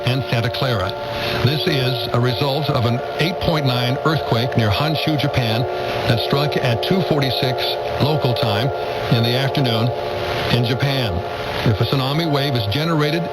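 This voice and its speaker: A man's voice: medium pitch, rough recording. A man reading a scripted weather warning bulletin as an emergency alert announcer.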